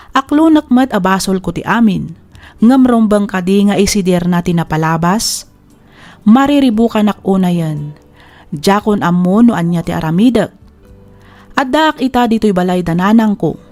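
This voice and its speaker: A female radio-drama narrator with a Filipino accent reads scripted, letter-style narration. Her voice sits at a medium pitch, with music behind it. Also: a little mellow. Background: background music.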